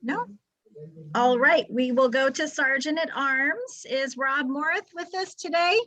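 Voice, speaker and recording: A woman's voice: high voice, a little slow, bright, forceful tone, poor audio. A woman hosting a club meeting over a video call, upbeat and encouraging. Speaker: meeting host.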